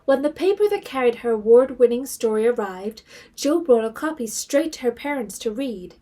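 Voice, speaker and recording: A woman acting as a storybook narrator with a fairly high voice. She reads literary prose in a measured, composed way, as scripted narration for an audio drama. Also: expressive, warm tone. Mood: composed storytelling.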